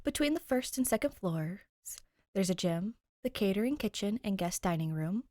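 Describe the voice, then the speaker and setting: Woman, mid-pitched voice, informal and bubbly, expressive story reader, very dry sound. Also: moderate pace, no room sound. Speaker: host and reader of a fan fiction podcast. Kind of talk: casual intro chat and expressive fiction narration.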